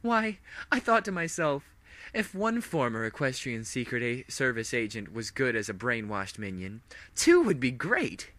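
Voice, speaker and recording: A man's voice: mid-range voice, big pitch swings, normal speed, sharp tone, no echo, pitched above the performer's own voice. An insane villain princess pony from an audio story, acted out by a man. The voice is raised and swoops up and down, gloating, cooing and full of theatrical menace. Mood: smug menace.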